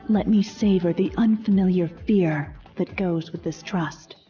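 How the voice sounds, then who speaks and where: A low, velvety-dark female voice acted by a woman for a fantasy game companion. She is proud, wounded and distrustful, phrasing every thought formally and dramatically over soft music. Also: measured pace, highs cut off, natural voice. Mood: intense, yearning. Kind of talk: fantasy video game character acting.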